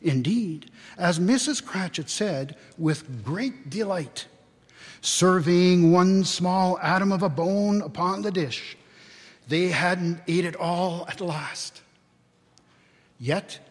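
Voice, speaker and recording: Slow, theatrical man reading in a reverberant hall. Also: sits high, breathy edge. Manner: theatrical, expressive, deliberate phrasing. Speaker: reader at a dramatic reading. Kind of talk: dramatic storybook reading to a live audience. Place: large church, live public reading.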